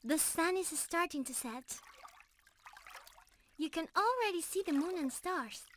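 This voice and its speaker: A woman's voice: extremely high pitch, expressive, measured pace, a bit bright, slight background noise, exaggerated voice. A woman playing a sweet, bashful young mermaid in an intimate ASMR roleplay, affectionate and girlish, easily flustered and teasing. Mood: happy, excited.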